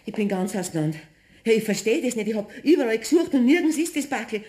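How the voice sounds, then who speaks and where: Woman playing a fussy, lively Bavarian woman. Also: fairly high pitch, quick pace, lightly acted. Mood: bewildered. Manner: fretful, garrulous, exclaiming. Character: fussy, superstitious, excitable Bavarian neighbour woman. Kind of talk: German radio play acting.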